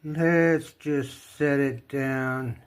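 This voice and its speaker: Man, unhurried DIY video host, mid-pitched voice, folksy and playful, casual how-to delivery. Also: fairly even intonation, a little hiss or hum. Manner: folksy, playful, talks himself through steps. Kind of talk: casual hands-on tutorial narration.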